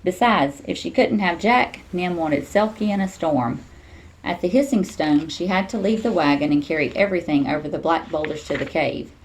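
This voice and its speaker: A woman reading a children's storybook aloud in an expressive, teacherly way. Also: fairly low pitch, moderate pace, a little hiss or hum, low-quality recording.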